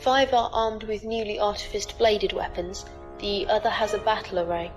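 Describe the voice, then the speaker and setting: A woman voicing a formal, obedient female ship AI who serves as a squire, reporting in a precise, flat way. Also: medium pitch, normal speed, dull audio, soft background music, close to an everyday voice. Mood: dutiful. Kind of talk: audio drama acting.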